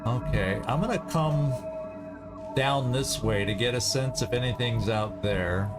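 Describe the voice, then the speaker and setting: Slow-talking man commentating over game music. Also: mid-range voice. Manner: thinking aloud, deliberate. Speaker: gaming video host. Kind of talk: casual strategy game commentary. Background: video game music.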